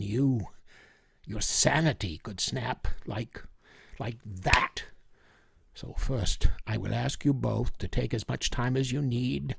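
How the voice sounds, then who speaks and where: Male actor as intense professor, slow, deliberate, dry close sound, solemn pauses, radio drama. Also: fairly low pitch, creaky, slightly rough, dry recording, exaggerated voice. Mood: solemn warning. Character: grave, intense professor and vampire hunter. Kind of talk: vintage radio drama acting.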